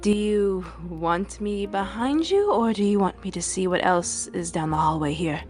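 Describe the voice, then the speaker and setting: A woman's voice: mid-range voice, animated, normal speed, a little mellow, natural voice. A woman playing an awkward, cautious male adventurer in a tabletop game, with a hesitant, stammering manner. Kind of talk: tabletop roleplay. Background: music.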